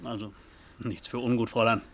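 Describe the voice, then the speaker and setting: An actor playing a hesitant, matter-of-fact courier in a German radio play, muttering in a politely official manner. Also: male voice, medium pitch, flat delivery, fairly quick, close to an everyday voice. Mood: awkward.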